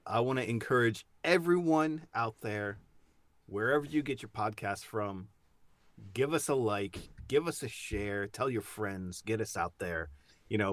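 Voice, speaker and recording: Lively, playful male podcast co-host. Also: fairly low pitch. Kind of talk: casual podcast banter.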